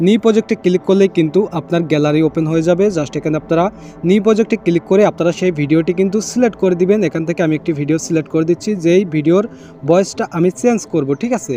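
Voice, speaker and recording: A man's voice: high-pitched, very fast, warm tone. A man hosting a casual how-to tutorial, explaining step by step, repeating himself and dropping in English catchwords. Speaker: tech tutorial host. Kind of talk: casual screen-recorded how-to narration.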